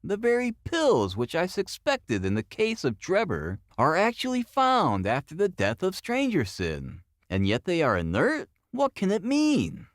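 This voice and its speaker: Man acting a high-pitched, excitable brilliant detective. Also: dry recording, studio quality, exaggerated voice. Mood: agitated. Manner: precise, commanding, excitable. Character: brilliant, intense, theatrical male Victorian detective.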